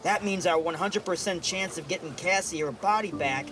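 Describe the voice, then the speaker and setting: An actor playing a brash, streetwise flying sidekick in an audio drama, cocky and loyal, full of folksy slang. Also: male voice, high voice, normal speed, natural voice. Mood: worried.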